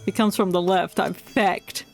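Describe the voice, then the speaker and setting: A streamer chatting casually and playfully through live gameplay, excitable and often muttering to themselves. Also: mid-range voice, a little slow, vocal fry. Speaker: game streamer. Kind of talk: casual live gaming commentary. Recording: music behind the voice.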